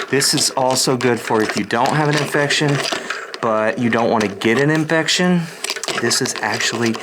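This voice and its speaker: A man playing a slightly deranged, scatterbrained doctor in a soft-spoken ASMR roleplay, halting, repetitive and insistent. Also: medium pitch, heavy background noise, natural voice. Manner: halting, repetitive, distracted muttering.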